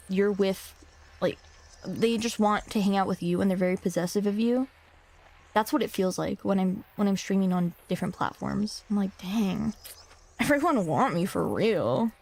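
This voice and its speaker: A young woman streaming, talking casually to her chat in slang and reading game text aloud, a little hoarse from a cough. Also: mid-range voice. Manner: slangy, rambling, talks to chat.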